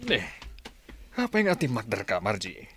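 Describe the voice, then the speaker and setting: A man's voice: on the low side, wildly swooping pitch, a bit bright, slightly rough, heavily acted. An actor playing a doting, hesitant elderly father in a radio drama, hemming and murmuring as he talks. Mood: concerned, questioning. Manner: halting, full of hems and murmurs.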